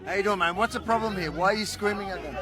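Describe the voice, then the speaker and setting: A man's voice: high voice, very fast, crowd noise, background noise. A man interviewing people on a city street, pressing them with repeated questions.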